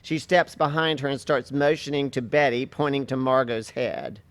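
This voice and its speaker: A narrator reads stage directions in a matter-of-fact way. The voice is medium in pitch, with a dry sound free of room echo. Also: steady tone, moderate pace. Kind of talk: scripted narration.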